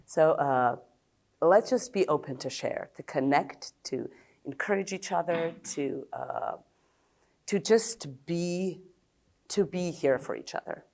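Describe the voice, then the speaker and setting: A woman from Serbia in her fifties, speaking with an American-tinged accent in a notably low voice. She gives a conference talk as a psychologist, blunt and open, pausing often with halting fillers. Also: darkish tone. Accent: American-tinged English.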